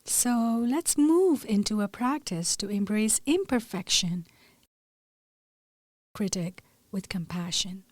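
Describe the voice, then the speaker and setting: A woman leading a gentle scripted guided meditation in a soothing, measured way, her soft voice turning breathy at phrase ends. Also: mid-range voice, animated, no room sound.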